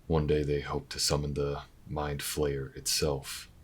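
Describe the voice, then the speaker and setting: An actor playing a weary, wry, protective investigator in an intimate audio drama, low-key and dry, with hesitant pauses. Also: male voice, monotone, exaggerated voice. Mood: grave. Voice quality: very deep, gravelly, husky. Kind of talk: audio roleplay acting, intimate and naturalistic.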